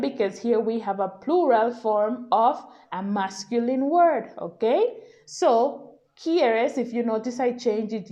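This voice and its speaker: Slow-paced, higher-voiced woman teaching. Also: warm tone. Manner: patient, explanatory, often checks with "okay?". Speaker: Spanish language teacher. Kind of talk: informal slide-based grammar lesson.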